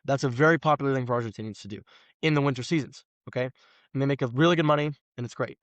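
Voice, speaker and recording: A man's voice: medium pitch, very fast, no echo. A man in his twenties from the United States, a podcast guest talking casually in an emphatic, rambling way full of "like" fillers. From United States, Los Angeles. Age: 20s. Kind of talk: casual conversation.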